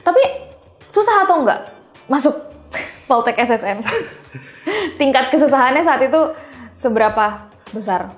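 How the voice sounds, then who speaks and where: Young female podcast host, fairly high voice, informal and chatty, faint music underneath. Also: lively intonation, unhurried, pauses often. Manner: informal, peppers talk with English phrases. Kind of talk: casual chatty interview.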